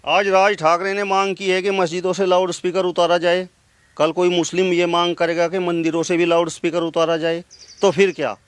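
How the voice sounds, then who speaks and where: A man's voice: high voice, level tone, a little fast, clear, resonant tone, no echo. A man working as an interviewer, putting questions to a guest.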